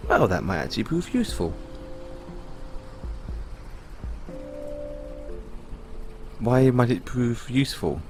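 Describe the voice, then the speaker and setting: A British man playing a kindly, chatty owner of a rescued android in an audio roleplay, speaking gently and hesitantly to the listener with small stammers. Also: mid-range voice, very animated, brisk, faint music behind. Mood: chatty, encouraging.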